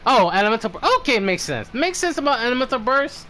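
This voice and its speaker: A man's voice: very high-pitched, very animated, quick pace, sharp tone. A man commentating casually on a live game stream as its host, swearing often. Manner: frequent swearing. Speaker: streamer reviewing game characters.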